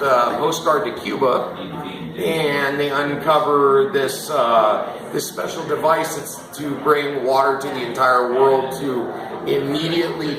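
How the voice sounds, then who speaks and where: Author with a hoarse voice, roomy crowd. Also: male voice, fairly high pitch. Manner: chatty, many fillers, clears throat often. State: voice going hoarse. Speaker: author giving a reading. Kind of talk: reading aloud, then casual talk about his books. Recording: roomy echo with crowd behind.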